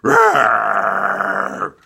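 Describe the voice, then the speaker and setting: A man voicing a big, menacing bear in a children's story, with loud, drawn-out roars. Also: fairly low pitch, wildly swooping pitch, creaky, husky, full character voice.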